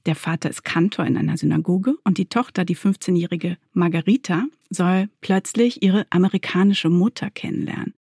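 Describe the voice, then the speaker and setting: Podcast host, female, breathy husky voice, scripted interview delivery, dry sound. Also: fairly low pitch, moderate pace, hardly any pauses, dark tone, no room sound. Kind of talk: prepared introduction and interview questions.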